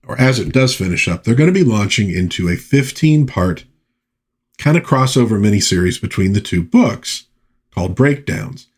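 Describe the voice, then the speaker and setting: A man hosting a casual review, talking in a halting, musing way with pauses and repeated phrases. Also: lowish voice, a little mellow, slightly rough. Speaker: host of a comic book review series.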